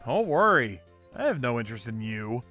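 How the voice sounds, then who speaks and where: Middle-pitched yet swinging widely in pitch, a man doing a blunt, unbothered young man in a manga dub who states hard truths matter-of-factly. Light music sits under his voice. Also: normal speed. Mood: deadpan. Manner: flat, matter-of-fact, bluntly critical. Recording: faint background music.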